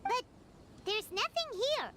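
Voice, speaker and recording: Very high, squeaky little fairy girl. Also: very animated, slow pace, heavy background noise, heavily acted. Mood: unsure. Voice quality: squeaky, childlike. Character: tiny childlike floating girl companion, excitable and chatty. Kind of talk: anime video game voice acting. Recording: game audio with music.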